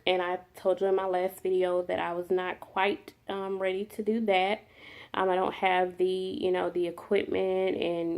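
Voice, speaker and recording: A woman talks to her camera in a soft, unhurried way, filling pauses with ums. A cold leaves her a little nasal. Her voice sits fairly low with a gravelly fry. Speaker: mukbang vlogger. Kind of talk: casual talk to camera.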